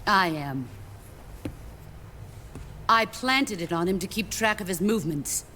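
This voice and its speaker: An actress playing a stern, stoic veteran soldier and mentor in a video game, speaking in a firm, terse, controlled way. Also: female voice, mid-range voice, animated, a little slow, bright, forceful tone, slight background noise. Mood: cold, controlled.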